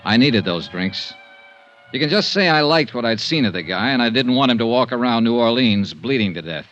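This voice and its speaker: A man's voice: medium pitch, lively intonation, brisk, fairly bright tone, soft background music, natural voice. An American actor playing a blunt, world-weary male insurance investigator in a 1950s radio drama, both narrating and speaking in scenes. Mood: wry narration. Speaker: lead actor and narrator of a radio detective serial. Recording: dull band-limited 1950s radio recording.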